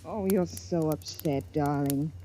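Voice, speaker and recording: A woman's voice: low-pitched, slow pace, mellow. An American woman playing a doting, solicitous wife with cooing, theatrical delivery in a 1950s radio drama. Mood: soothing. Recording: faintly noisy vintage radio recording with clicks.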